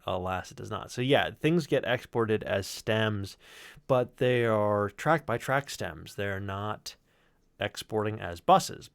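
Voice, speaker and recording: Guy with a lowish voice, sound designer chatting through his process, animated and rambly. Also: creaky. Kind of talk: casual technical explanation of own work.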